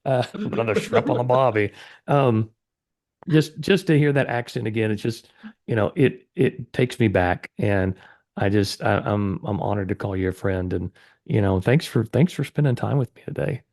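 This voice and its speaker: A man's voice: fairly low pitch, normal speed, darkish tone. A man hosting a casual interview podcast, speaking in an upbeat, earnest and encouraging way. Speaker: podcast host.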